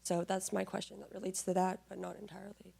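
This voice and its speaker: A young woman of Moroccan origin, an audience member asking a polite, measured question at a panel. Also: medium pitch, little pitch movement, creaky, dark tone, breathy edge.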